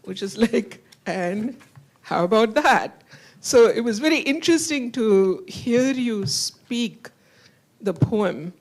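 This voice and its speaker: A woman's voice: a little high, animated, slow pace. A woman on a writers' panel, commenting in a thoughtful way.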